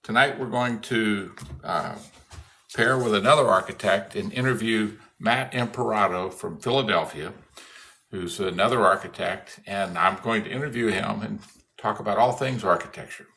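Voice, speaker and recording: Halting man in his late sixties. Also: lowish voice, sharp tone. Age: 60s. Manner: halting, many fillers and restarts, laughs often. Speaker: host. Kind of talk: casual live-stream interview.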